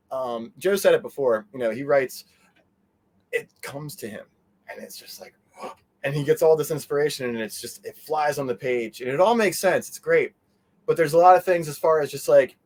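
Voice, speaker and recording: A man talking casually as an interview guest, telling things in a rambling, animated way with quoted asides. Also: on the high side.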